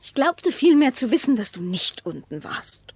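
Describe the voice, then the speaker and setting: An actress playing a distrustful, sharp-tongued wife in a radio play, speaking precisely and sceptically. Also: female voice, high voice, big pitch swings, moderate pace, breathy edge, dry recording, heavily acted. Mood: biting. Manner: pointed, sceptical, precise diction.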